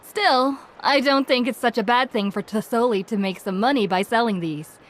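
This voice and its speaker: An actress voicing a kindly, scatterbrained young village woman in a game dub, chatting warmly and losing her train of thought, over soft game music. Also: a little high, moderate pace, natural voice. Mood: chatty. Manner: warm, chatty and forgetful. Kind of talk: dubbed game dialogue.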